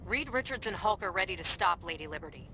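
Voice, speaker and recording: An actress playing a crisp, efficient agent delivering scripted mission briefings in a video game. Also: female voice, fairly low pitch, animated, fast talker, close to an everyday voice. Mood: businesslike. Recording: muffled game audio under music.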